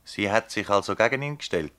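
A swiss prosecutor in a radio play, deep voice, curt n official, keeps probing like he's in charge. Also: expressive, fairly quick, bright, forceful tone. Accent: Swiss German. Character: male public prosecutor, brisk, probing, authoritative interrogator. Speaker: radio drama actor.

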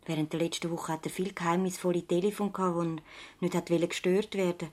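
An actress playing an earnest, thoughtful young practice assistant and loyal niece in a radio play, with a Swiss German accent. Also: female voice, lowish voice, level tone, fast, darkish tone, natural voice. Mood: worried, hushed. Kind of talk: radio play acting, conversational dialogue.

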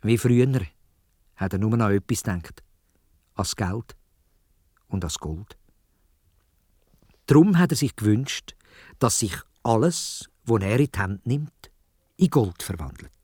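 A man with a Swiss German accent telling a children's story in a dramatic, expressive way, speaking directly to young listeners. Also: fairly low pitch, slow pace, pauses often, breathy edge, dry recording, studio quality. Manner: dramatic, addresses young listeners with questions and exclamations.

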